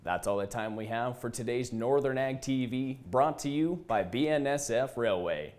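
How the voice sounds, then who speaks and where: A male news presenter delivers scripted narration for an agriculture broadcast. His pitch sits in an ordinary middle range for a man, and he speaks in a reporting style. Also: moderate pace, a little mellow. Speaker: news host.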